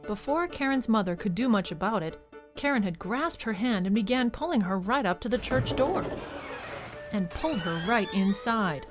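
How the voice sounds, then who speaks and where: A woman's voice: mid-range voice, lively intonation, moderate pace, over music. A woman narrating a storybook tale for an audio drama, conversationally addressing the listener.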